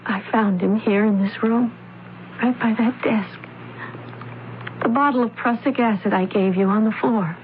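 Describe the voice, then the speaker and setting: An actress playing a composed young daughter, matter-of-fact and measured, in a radio mystery drama. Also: female voice, on the high side, natural voice. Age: young adult. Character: young adopted daughter, composed, recounting a death. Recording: noisy, tinny old radio broadcast.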